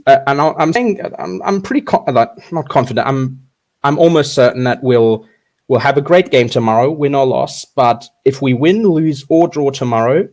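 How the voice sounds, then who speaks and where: A young man with a mixed German and Australian accent that gets mistaken for South African. He vents with real heat as a caller, stumbling over his own restarts as he rushes to get his point across. His voice sits at an ordinary middle pitch. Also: darkish tone. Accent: German-Australian mix. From Australia, German-born. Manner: fast, impassioned, halting restarts. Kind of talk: passionate phone-in rant.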